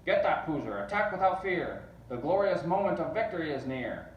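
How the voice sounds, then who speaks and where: A man's voice: fairly high pitch, big pitch swings, moderate pace, slight background noise, pitched above the performer's own voice. A man voicing a big, pompous, bellowing army general in a children's storybook read-aloud. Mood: rousing. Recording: roomy, distant, dull sound.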